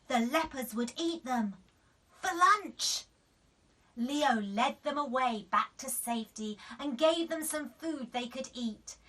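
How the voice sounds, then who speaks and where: A woman storyteller giving an expressive reading of a children's bedtime story. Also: sits high, recorded from a distance, low-quality recording.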